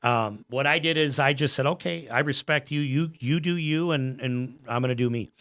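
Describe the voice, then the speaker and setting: A man's voice: mid-range voice, normal speed, fairly bright tone. An American man in his forties chatting casually as a podcast guest, earnest and emphatic, often repeating his phrases. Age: 40s. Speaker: podcast guest, gym owner and coach.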